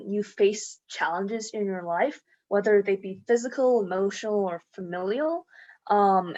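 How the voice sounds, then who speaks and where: A young woman student gives earnest advice in casual chat, pausing often on "um". Her pitch is moderate. The recording sounds muffled and lo-fi. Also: darkish tone. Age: young adult. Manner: earnest, explains with frequent "um". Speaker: student panelist.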